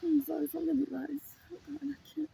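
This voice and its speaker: A woman's voice: sits high, fairly even intonation, brisk, gravelly, dark tone, slight background noise, low-quality recording. A woman vlogger telling a personal story in a casual monologue, emphatic and repetitive, swearing freely. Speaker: spiritual vlogger.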